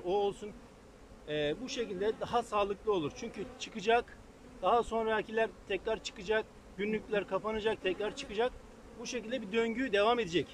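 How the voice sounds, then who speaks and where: A man's voice: high voice, a little hiss or hum. Brief: man with a raised, light voice, hands-on beekeeping teacher talking as he works, faint buzzing field behind. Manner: practical, addresses viewers as friends, thinks aloud while working. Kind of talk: casual outdoor how-to lesson. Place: open field beside beehives, outdoors. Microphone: far camera on a tripod.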